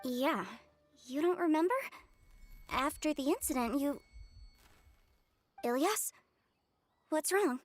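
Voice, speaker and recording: A woman playing a caring, protective young sister in a video game, brisk and affectionate. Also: high-pitched, expressive. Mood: concerned, caring. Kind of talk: video game character acting.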